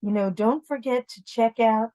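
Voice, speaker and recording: A woman's voice: a little high, moderate pace, dark tone. A woman storyteller reading and talking informally to families, explaining things directly to young listeners. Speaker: read-aloud storyteller. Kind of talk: picture book narration and informal address to families.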